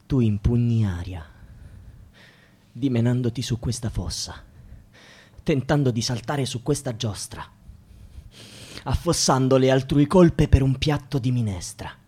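An actor playing an impassioned protester, declaiming rhymed verse in a rhetorical way in a radio drama, calling on the people. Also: male voice, medium pitch, warm tone, breathy edge. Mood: low, brooding. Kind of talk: theatrical radio drama monologue in rhythmic verse. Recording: music behind the voice.

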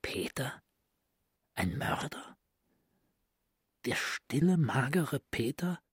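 An actor playing a cultivated, courteous asylum director and earnest younger brother in a radio drama, with a husky, breathy, formal delivery. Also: male voice, mid-range voice, big pitch swings, slow pace, heavily acted. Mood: shocked, brooding.

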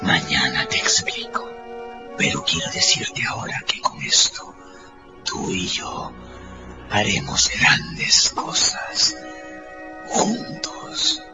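An actor playing a menacing, megalomaniac rogue scientist in a radio drama, with a slow, whispery, theatrical delivery. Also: male voice, deep voice, huge pitch swings, full character voice. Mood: smug, intense. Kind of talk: Spanish-language radio drama acting. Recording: loud music over the voice.

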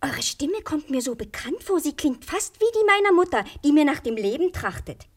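A woman's voice: high-pitched, very animated, moderate pace. A woman playing a naive, chatty, girlish princess in a fairy-tale radio play for children, artless and earnest.

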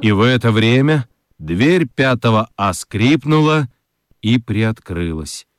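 A man narrating a children's radio play as an expressive storyteller, with deliberate dramatic pauses. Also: mid-range voice, no room sound, clean studio sound. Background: prominent music.

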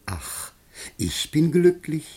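Husky, humble poor citizen. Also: male voice, a little high, big pitch swings, slow, darkish tone, full character voice. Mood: grateful, wistful. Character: humble, poor, grateful good-hearted Greek citizen. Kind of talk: theatrical German radio play acting.

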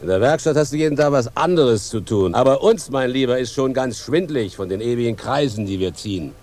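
An actor playing a weary, earthy, middle-aged tractor driver in a German radio drama. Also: male voice, medium pitch, expressive, fairly quick, darkish tone, natural voice. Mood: hearty, plain. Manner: earthy, plain-spoken. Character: weary, plain-spoken farm tractor driver and host.

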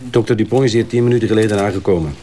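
An actor playing a methodical police detective in a Flemish crime drama, measured and matter-of-fact in delivery. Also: male voice, lowish voice, a crowd in the background, lightly acted. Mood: informative. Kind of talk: naturalistic Flemish radio crime drama acting.

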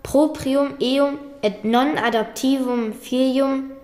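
A woman's voice: high-pitched, fairly even intonation, slow, a little mellow. A woman playing an earnest, learned young medieval girl, precise and respectful, in a radio drama. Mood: assured recital. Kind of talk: German radio drama acting. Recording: roomy echo, faint music behind.

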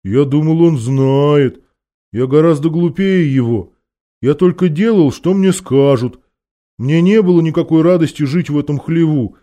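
A man voicing a meek, slow-witted old donkey in a children's fantasy story, apologetic and plaintive, speaking haltingly and naively. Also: fairly high pitch, dark tone, lightly acted. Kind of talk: children's fantasy audiobook character.

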